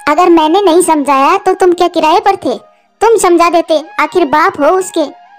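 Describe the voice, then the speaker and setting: A woman voicing a sharp-tongued, doting mother crow who nags indignantly in a cartoon. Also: very high voice, normal speed, faint music behind. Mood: distraught, sharp. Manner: indignant, nagging. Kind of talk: Hindi cartoon voice acting.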